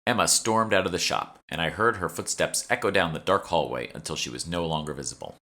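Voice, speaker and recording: A man's voice: deep voice, fairly quick, bright, forceful tone. A man narrating a first-person fantasy audiobook, reading from a script as a storyteller. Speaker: author reading own audiobook.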